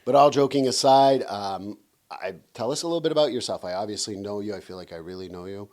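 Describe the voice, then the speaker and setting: A very low-voiced man from Quebec hosts a podcast in a loose, chatty way. He teases his guest and often restarts his sentences partway through. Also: quick pace, darkish tone. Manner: joking, teasing, with hesitant restarts. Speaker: podcast host. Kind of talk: casual podcast interview.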